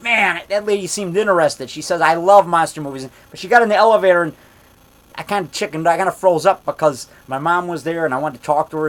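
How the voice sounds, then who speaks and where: A man in his fifties telling a rambling story in a loud voice, repeating himself and getting worked up as he goes. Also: high voice, poor audio. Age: 50s. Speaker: monster movie maker telling a story. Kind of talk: casual storytelling monologue.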